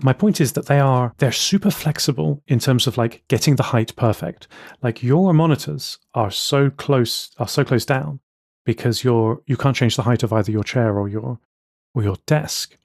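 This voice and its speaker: A man's voice: mid-range voice, moderate pace, creaky, darkish tone, dry recording, clean studio sound. A man in his thirties co-hosting a casual podcast chat, often restarting and repeating words as he explains. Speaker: podcast co-host.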